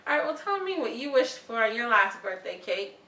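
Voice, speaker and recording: A woman's voice: on the high side, brisk. A woman in her thirties hosting a casual interview show, chatty and upbeat. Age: 30s. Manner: upbeat, chatty, lively bursts of excitement. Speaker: host. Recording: echoey room from far off, faint noise.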